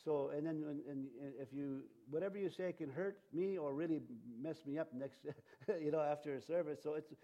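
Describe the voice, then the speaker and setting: A man's voice: fairly high pitch, moderate pace, dark tone. A man preaching as a pastor, whose first language was Cree and Ojibwe, talking to his church in a rambling way with many fillers and restarts. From Canada. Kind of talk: sermon.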